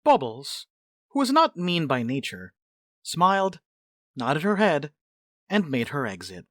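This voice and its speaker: Lively female narrator with a dry, close sound. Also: low voice, a little slow, no room sound. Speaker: stage-direction narrator. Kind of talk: scripted narration of a dramatic reading.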